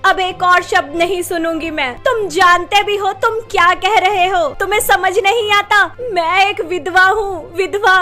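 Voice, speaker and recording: A woman's voice: extremely high pitch, fairly even intonation, unhurried, hardly any pauses, pushed and bright, faint music behind, exaggerated voice. A woman acting an emotional young woman in a melodramatic story drama. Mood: upset, refusing. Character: young widowed woman, pleading and defiant, and her chatty friend. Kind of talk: melodramatic Hindi story dialogue.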